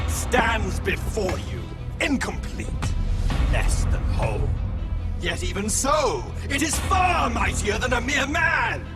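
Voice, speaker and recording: A mocking, grandiose game villain, drawing out his words in a high voice that swings from hush to shout. He is theatrical and sinister, with very loud music behind him. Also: exaggerated voice. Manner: grandiose, slow, swooping between whisper and shout. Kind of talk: theatrical video game cutscene acting.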